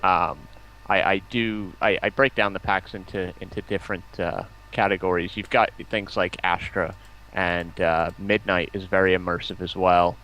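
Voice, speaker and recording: A man's voice: sits low, steady tone, normal speed, fairly bright tone, rough recording. A man chatting casually as a guest on a gaming livestream, often repeating words as he gets sentences going. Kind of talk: casual banter and chat.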